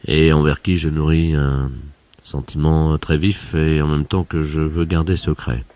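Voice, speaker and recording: Man as lovestruck company boss, extremely deep, dry sound, courteous, hesitant, deadpan prank-call style. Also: measured pace, dry recording. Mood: courteous, confiding. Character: bashful, lovestruck male company boss, formal and secretive.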